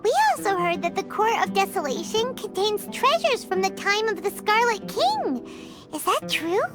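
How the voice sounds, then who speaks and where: A tiny floating companion chirps in a very high, squeaky voice. Her pitch leaps all over as she exclaims in quick bursts. Loud game music plays behind her. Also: very animated. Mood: eager. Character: tiny floating female companion, excitable and chatty. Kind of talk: game voice acting.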